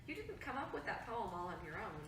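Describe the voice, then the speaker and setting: A woman plays a house-proud mother who fusses over tidiness and gently scolds. Her voice is bright and swoops lively up and down. The mic sits far off in a roomy space, with a faint hiss underneath. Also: mid-range voice, brisk. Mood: stern. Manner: prim, correcting, fretful. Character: fussy, tidy mother, anxious to please. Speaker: actor in a staged reading. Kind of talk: virtual staged reading. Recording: echoey room, mic far off.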